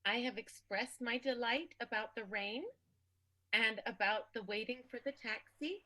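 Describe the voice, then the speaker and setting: Radio soap lover, female actor: bright, indignant, melodramatic, stammering emphasis. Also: a little high, a little slow, low-quality recording. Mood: exasperated. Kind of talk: improvised radio soap acting.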